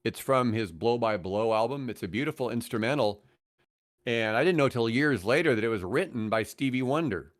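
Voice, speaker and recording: A middle-aged man with an American accent and an average-pitched voice chats as a podcast guest. He tells stories in a rambling, enthusiastic way, circling back and adding asides as he goes. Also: lively intonation, a little fast. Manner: rambling, enthusiastic about music. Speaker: podcast guest, guitarist and radio host. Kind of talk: casual storytelling conversation.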